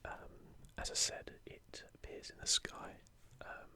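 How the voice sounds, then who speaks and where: A video host speaking in a soft, unvoiced ASMR whisper, unhurried, hushed and informal. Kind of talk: whispered ASMR, leafing through and commenting on an art book.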